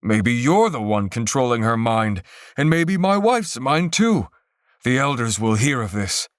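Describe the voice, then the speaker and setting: A man's voice: mid-range voice, big pitch swings, a little slow, clear, resonant tone, no room sound, pitched above the performer's own voice. A burly village blacksmith, heated and accusing, his voice pushed up above the narration, as a male narrator puts him on. He sounds both angry and afraid. Dry, close recording.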